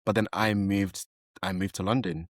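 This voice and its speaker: A man from Zimbabwe co-hosting a podcast, earnest and halting, often repeating his words. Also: low voice, steady tone, dry recording, clean studio sound. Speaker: podcast co-host. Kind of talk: podcast interview, casual chat.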